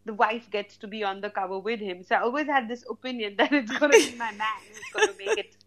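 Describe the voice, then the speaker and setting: Woman, 40s, higher voice, chatty, laughs often, many fillers. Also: fast, fairly bright tone, a little hiss or hum. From India. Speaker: guest, businesswoman. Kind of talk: interview answers. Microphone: phone line from India.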